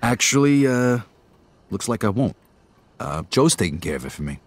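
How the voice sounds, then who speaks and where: A low-voiced streetwise young veteran. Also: male voice, big pitch swings, normal speed. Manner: casual, streetwise. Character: young war veteran home on leave, wry. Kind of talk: video game cutscene.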